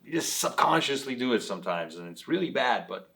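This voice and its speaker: A man's voice: mid-range voice, big pitch swings, brisk, vocal fry, fairly bright tone. Man, blunt and frank, casual group talk. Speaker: interview participant.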